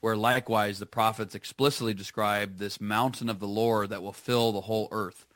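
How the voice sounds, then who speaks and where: A man with a fairly low but bright, forceful voice, calling in as a guest to talk through scripture and early church writings. He talks energetically, restarting and paraphrasing as he goes. The sound is dry and close. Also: fairly even intonation, moderate pace, dry recording. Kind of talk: conversational commentary on scripture.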